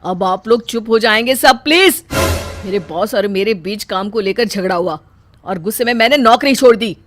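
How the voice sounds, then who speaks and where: A woman's voice: mid-range voice, normal speed, background noise, close to an everyday voice. A woman voicing a stressed, irritated young husband in a cartoon story, speaking curtly. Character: adult man, stressed tired young husband.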